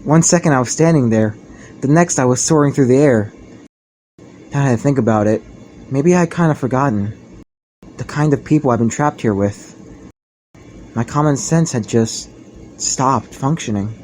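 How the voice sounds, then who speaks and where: A man's voice: mid-range voice, moderate pace, long pauses, darkish tone, slight background noise, natural voice, low-quality recording. A man playing an earnest, bewildered ordinary teenage boy who narrates his own ordeal in an anime game fandub. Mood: dazed reflection. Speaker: protagonist and narrator. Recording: music behind the voice.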